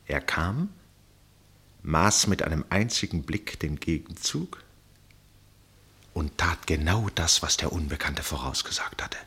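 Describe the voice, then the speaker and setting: The storyteller of a radio play, a keen observer relating a tense contest. His voice is very low and husky, and he builds suspense with pauses and drops to a hush at the ends of phrases. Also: lively intonation, heavily acted. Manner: suspenseful, dramatic pauses and hushed phrase endings. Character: male storyteller, keen fascinated observer of a chess match. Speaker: narrator. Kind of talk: literary radio play narration.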